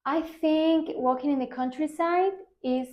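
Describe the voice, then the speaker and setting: A woman in her twenties answers speaking-test questions carefully, with small hesitations. Her voice is high. The room adds a clear echo. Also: measured pace, highs cut off. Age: 20s. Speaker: student, exam candidate. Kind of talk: practice exam answers. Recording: echoey room.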